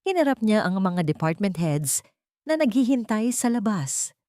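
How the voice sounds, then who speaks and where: A woman's voice: medium pitch, big pitch swings, unhurried, warm tone, no echo, clean studio sound. A woman reading dramatic scripted narration as an audiobook narrator.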